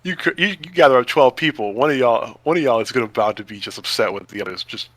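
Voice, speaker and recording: Bright, dry-sounding man reacting casually. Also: medium pitch, fast, dry recording. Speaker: podcast co-host. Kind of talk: casual chat.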